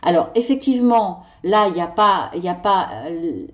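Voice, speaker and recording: A woman reading tarot cards in a weekly video, passionate and animated, tripping over her words as her ideas run ahead. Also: fairly low pitch, normal speed, highs cut off. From Italian heritage. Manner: animated, talks with her hands. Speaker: tarot card reader.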